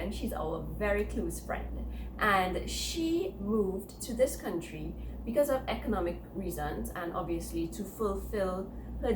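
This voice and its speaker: A woman, introducing a guest with animated, teasing questions to viewers. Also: medium pitch, roomy sound, background noise. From Trinidad and Tobago. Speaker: co-host. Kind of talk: lively show introduction. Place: living room set, indoors.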